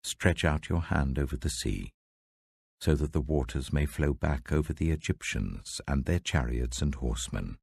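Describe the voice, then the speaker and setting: A man voicing a deep, majestic, commanding God. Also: animated, darkish tone, dry recording, heavily acted. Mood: commanding, grave. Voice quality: very deep, gravelly. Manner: slow, solemn, authoritative. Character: God, a commanding, grave, majestic male deity.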